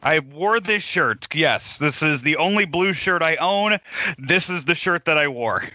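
Casting: host voice male, bright and a touch high, halting casual delivery, dry close sound. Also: expressive, no room sound. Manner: halting, many fillers and restarts. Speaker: podcast host. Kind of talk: casual sports talk.

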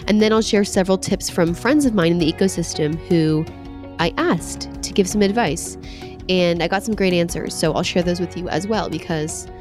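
Congested twenty-something woman, chatty host. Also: lowish voice. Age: 20s. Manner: chatty, relaxed, frequent "like" fillers. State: slightly congested from a cold. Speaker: podcast host. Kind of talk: casual solo podcast talk.

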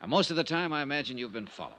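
An actor playing a shrewd, hard-boiled insurance investigator in a 1950s radio detective drama, clipped, dramatic and wry. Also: male voice, mid-range voice, sing-song, rapid-fire, a bit bright, slight background noise. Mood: low, wry.

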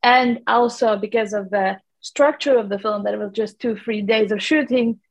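A woman's voice: medium pitch, steady tone, moderate pace, low-quality recording. A woman from Latvia with a Latvian accent, a documentary producer answering interview questions in a rambling way with many fillers and restarts.

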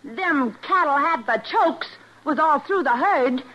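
A woman's voice: high voice, expressive, a little slow, a bit bright. An actress playing a fiery, vengeful young ranch girl in a radio western, with a folksy rural American West accent and blunt speech. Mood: bitter, stubborn. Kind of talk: old-time radio western acting. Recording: muffled vintage radio recording.

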